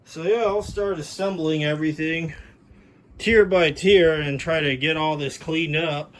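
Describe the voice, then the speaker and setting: A man's voice: a little high, steady tone, measured pace. A man casually narrating an informal, chatty show-and-tell of his hobby gear, recorded indoors on a handheld camera. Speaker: hobbyist video maker. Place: indoors in a room at home.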